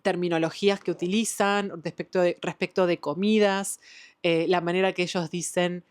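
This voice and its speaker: Chatty Argentine woman with a low voice. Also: fairly even intonation, moderate pace, clean tone. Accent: Argentine Spanish. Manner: enthusiastic, chatty, with fillers like "eh" and "em". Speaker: book vlogger. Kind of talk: casual book wrap-up chat.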